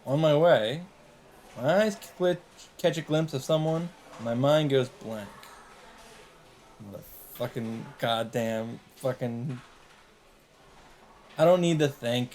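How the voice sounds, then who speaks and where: A man hosting a let's play, reading visual novel narration aloud in a measured, dramatic way with asides. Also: medium pitch, darkish tone, slight background noise. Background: guitar game music.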